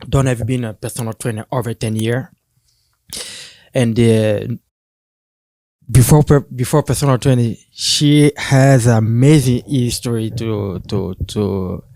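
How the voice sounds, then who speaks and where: A man with a non-native English accent hosting a casual interview, speaking haltingly and repeating words as he searches for phrasing. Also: mid-range voice, fairly even intonation, darkish tone, dry recording. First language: not English. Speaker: podcast host, interviewer.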